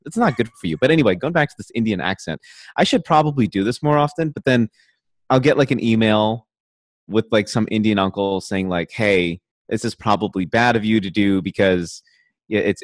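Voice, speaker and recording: A man's voice: on the low side, no echo. A man in casual podcast chat, joking often and restarting his sentences. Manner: restarts and stammers, laughs a lot. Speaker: podcast co-host.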